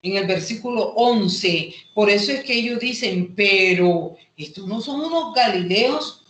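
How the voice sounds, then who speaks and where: A woman with a Colombian Caribbean coast accent teaching an online Bible lesson, explaining haltingly and repeating phrases as she goes. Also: mid-range voice, animated, a bit bright. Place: indoor room, online class. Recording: echoey room, online call audio.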